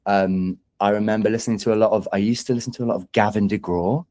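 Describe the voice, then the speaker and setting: A man's voice: fairly low pitch, fairly even intonation, fast, warm tone. A young man with a London English accent chatting casually as a musician in an interview, enthusiastic and rambling in an informal way.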